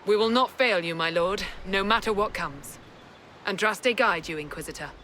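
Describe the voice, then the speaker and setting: A woman acting a loyal fantasy soldier, bright and forceful, formal and devout in her delivery. Faint outdoor noise lies behind the voice. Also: medium pitch, normal speed, close to an everyday voice. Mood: fervent. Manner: formal, earnest military delivery. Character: devout, loyal soldier reporting to a revered leader. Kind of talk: dramatic fantasy game acting.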